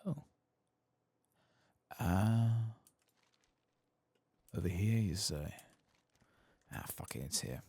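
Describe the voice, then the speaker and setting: A man doing soft-spoken ASMR commentary as he plays a game, murmuring to himself in a low-key way. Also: animated, drawn-out words, long pauses, breathy edge, dry recording. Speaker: game streamer, host of an ASMR playthrough. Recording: keyboard and mouse clicks behind voice.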